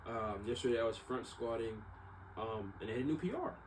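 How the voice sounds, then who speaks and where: A man's voice: medium pitch, brisk. A young man talking casually in a rambling, slangy monologue, often repeating himself and checking in with "right?". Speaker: vlogger. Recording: distant mic, clearly noisy background.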